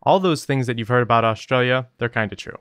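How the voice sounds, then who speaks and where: A man's voice: mid-range voice, fast talker, fairly bright tone, clean tone, no room sound, clean studio sound. A man who joins a podcast once a month, reading listener mail aloud with wry, joking asides. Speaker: monthly guest co-host.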